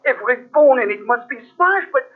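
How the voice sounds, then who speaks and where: Radio horror role: excitable French airman, high-pitched, French-accented, deferential and anxious, muffled vintage tape. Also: a little fast. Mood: horrified. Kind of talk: old-time radio horror acting.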